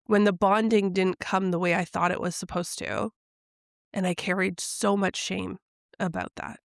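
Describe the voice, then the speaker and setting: Middle-aged woman podcast host, slightly congested from a cold, reflective storyteller, gravelly tone. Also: medium pitch, steady tone, moderate pace, no room sound, clean studio sound. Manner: soft, reflective and confessional. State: recovering voice from a head cold. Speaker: podcast host and intuitive coach. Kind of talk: personal storytelling monologue.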